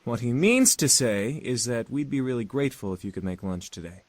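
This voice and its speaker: A man's voice: mid-range voice, lively intonation, fairly quick, no room sound, close to an everyday voice. A man voicing a polite, scholarly male demon in a visual novel, speaking in a formal, courteous, explanatory way. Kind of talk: visual novel character acting.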